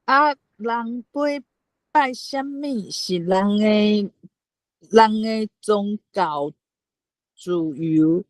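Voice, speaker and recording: A woman playing a haughty, unhurried ruler of heaven in a folk tale, measured and imperious, with a Taiwanese accent. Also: mid-range voice, no echo, low-quality recording. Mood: dismissive.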